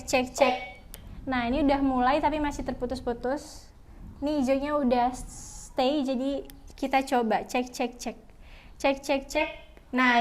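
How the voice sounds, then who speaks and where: A young woman reviewing products in a casual vlog, chatting to her viewers and calling them guys. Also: sits high.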